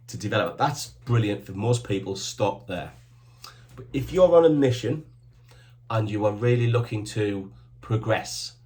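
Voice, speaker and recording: A man's voice: on the low side, darkish tone. Punchy fifty-something man giving gym advice. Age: 50s. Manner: emphatic, punchy short commands; enthusiastic, plain-spoken. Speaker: supplement shop owner giving fitness advice. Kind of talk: direct advice to camera.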